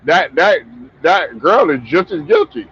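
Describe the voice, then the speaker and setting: A man's voice: a little high, huge pitch swings, unhurried, creaky, a bit bright. A man hosting a casual sports talk stream, stuffed up and rambling, with many restarts and repeated words. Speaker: sports talk show host. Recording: muffled lo-fi stream audio with faint noise.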